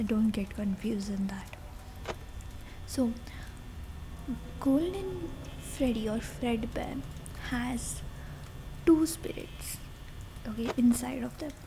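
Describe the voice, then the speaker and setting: An Indian-accented woman speaks in a soft, whispered ASMR style, pitched a little high. She chats as a girlfriend would while playing a game, with clear background noise behind her. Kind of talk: whispered ASMR roleplay chat over gameplay.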